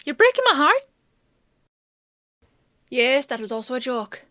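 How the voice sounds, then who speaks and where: A woman's voice: sits high, very animated, unhurried, bright, forceful tone, no echo, clean studio sound, full character voice. A woman playing a bossy, teasing female apothecary in a fantasy audio roleplay, with a chatty, sardonic, playfully scolding manner.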